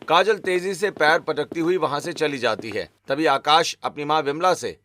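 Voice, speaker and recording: A man's voice: on the high side, normal speed, a bit bright, a little hiss or hum. A man giving scripted narration for a cartoon family story.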